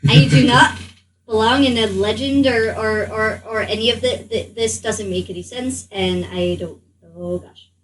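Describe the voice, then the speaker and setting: A woman's voice: fairly high pitch, measured pace, close to an everyday voice. A woman playing a bewildered, timid young would-be hero in a tabletop game, stammering and hesitant. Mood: flustered. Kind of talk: improvised tabletop roleplay in character. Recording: slightly distant mic.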